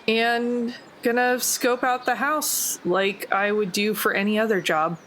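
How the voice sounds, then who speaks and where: A woman's voice: a little high, steady tone, a little slow, fairly bright tone, a little hiss or hum, poor audio. A woman talking casually as a player in a tabletop roleplaying game.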